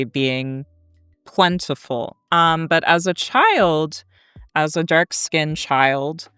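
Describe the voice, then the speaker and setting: An American woman in her thirties telling a story as an academic guest in an articulate, deliberate, conversational way. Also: low-pitched, fairly bright tone, clear, resonant tone, no room sound, clean studio sound. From Kansas, United States. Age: 30s.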